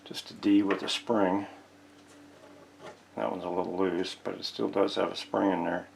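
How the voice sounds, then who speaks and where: A man's voice: low voice, little pitch movement, normal speed, vocal fry, slight background noise, low-quality recording. A man giving casual, folksy, unscripted narration as he works with his hands, thinking aloud as he goes. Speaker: host of a vintage radio repair channel. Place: indoor workshop.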